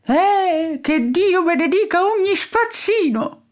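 A woman's voice: very high-pitched, lively intonation, slow pace, voice pushed up, exaggerated voice. A woman voicing an eccentric, boisterous old toothless man who lives in a junkyard, in a storybook reading. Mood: wistful. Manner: boisterous, rhyming, exclaiming.

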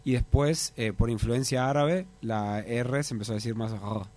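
A Uruguayan man telling anecdotes in a relaxed, hesitant way in casual studio chat. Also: mid-range voice, moderate pace. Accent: Uruguayan Spanish. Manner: relaxed storytelling, hesitant with restarts. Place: radio studio. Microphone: studio microphone.

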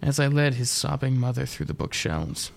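A man narrating a scripted first-person dark comedy radio play in a deadpan, wry manner. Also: mid-range voice, moderate pace, creaky, slight background noise. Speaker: narrator and performer.

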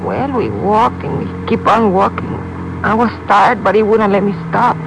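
An actress playing an injured, frightened young woman with a Mexican accent in a 1950s radio drama, speaking in a halting, pained, weary way. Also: medium pitch, expressive, vocal fry. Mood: shaken, halting. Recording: muffled old radio recording, loud engine noise.

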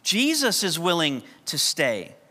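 High bright-voiced New preacher. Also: male voice, wildly swooping pitch, slow. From New York. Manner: conversational, humorous storytelling. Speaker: pastor. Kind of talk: sermon. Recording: long hall reverb.

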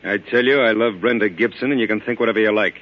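An actor playing a cocky, evasive young mob lawyer in an old-time radio drama, brisk and defensive. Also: male voice, lowish voice, lightly acted. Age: young adult. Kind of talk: 1940s radio drama acting. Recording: dull lo-fi old radio recording.

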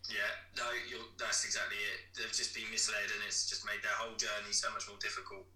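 A man's voice: on the low side, level tone, brisk, sharp tone. A man chatting informally and bluntly as a personal trainer in a casual podcast conversation. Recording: echoey, somewhat distant from the mic.

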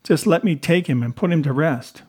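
A man voicing a grieving, bitter mother who forcefully demands justice in an audiobook. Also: a little high, normal speed, husky, pitched above the performer's own voice. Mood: bitter grief.

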